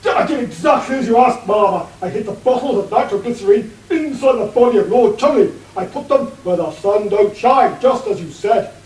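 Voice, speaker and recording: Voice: medium pitch, expressive, brisk, hardly any pauses, a little mellow, close to an everyday voice. A performer playing a dim, hapless henchman in a comic radio play, defensive and guileless. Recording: echoey room, distant mic.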